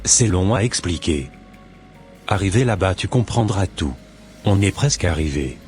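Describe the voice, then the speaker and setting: Guy doing a smitten husband in a church cartoon, real deep and husky, bit of music under. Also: expressive, normal speed, darkish tone. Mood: matter-of-fact. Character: besotted husband under a spell.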